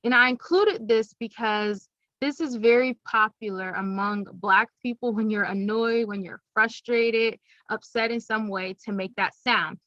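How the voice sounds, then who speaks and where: A woman's voice: fairly high pitch, steady tone, unhurried, sharp tone, no room sound, highs cut off. A young woman giving an upbeat, teacherly presentation to schoolchildren, explaining things plainly. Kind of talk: recorded classroom presentation.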